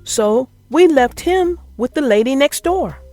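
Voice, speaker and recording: A woman narrating a children's picture book as an expressive, theatrical read-aloud. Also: high-pitched, unhurried, no room sound, faint music behind.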